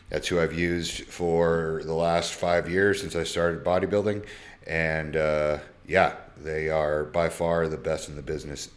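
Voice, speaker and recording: A man in his thirties who swears freely and talks in loose slang, reading fan messages aloud on a casual live stream from his phone. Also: a bass voice. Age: 30s. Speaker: social media creator.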